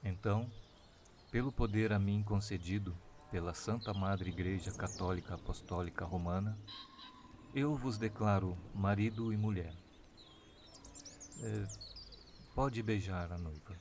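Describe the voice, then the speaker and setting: A timid village priest in a radio drama, speaking low with solemn, hesitant formality. Faint rural outdoor noise and birds sit behind him. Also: male voice, measured pace, long pauses, a little mellow. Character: solemn, timid village vicar officiating a wedding.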